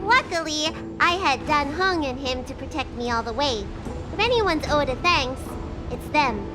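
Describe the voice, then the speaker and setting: High-voiced earnest little girl. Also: expressive, normal speed, over music. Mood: earnest, grateful. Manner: youthful, earnest. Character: little girl dragon healer, earnest and polite.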